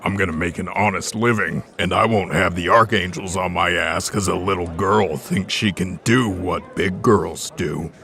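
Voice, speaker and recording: A man's voice: deep voice, moderate pace, hardly any pauses, a bit bright. A man playing a massive, stern Cyclops bartender in a fantasy audio drama, dismissive and threatening. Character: gruff, massive one-eyed Cyclops bartender, stern and principled. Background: faint music.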